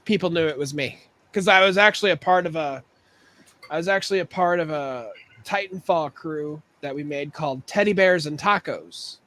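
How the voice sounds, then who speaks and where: A man in casual conversation on a podcast, telling rambling stories and joking. His voice sits a bit higher than most men's. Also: bright, forceful tone. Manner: rambling, joking, quick to laugh. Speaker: podcast co-host. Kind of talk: casual chat.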